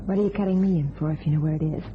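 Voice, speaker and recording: A plain, guarded widow who waits tables played by a woman in an old-style crime radio play. She talks in blunt, clipped phrases with a tired wariness. The sound is dull and clearly noisy. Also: low voice, racing, natural voice. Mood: bitter, defiant. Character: Helen, plain weary guarded widowed diner waitress.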